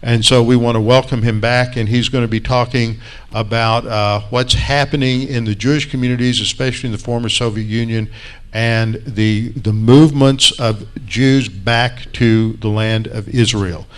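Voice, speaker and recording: A man's voice: mid-range voice, fairly even intonation, normal speed, clean tone. A man acting as host, giving friendly introductory remarks with fillers and repeats.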